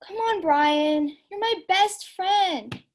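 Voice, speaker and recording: An exasperated young woman in an amateur audio drama, let down by her friend, slow and pleading, then sulky, as a young woman plays her. Her voice is very high. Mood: reproachful.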